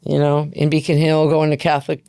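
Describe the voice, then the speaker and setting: An American woman in her fifties speaks in a low, creaky voice that is still clean and smooth. She tells personal stories casually and plainly, as a guest in a conversation recorded close and dry. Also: monotone, a little fast, mellow, no echo. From Seattle, United States. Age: 50s. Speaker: podcast guest. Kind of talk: casual interview, personal storytelling.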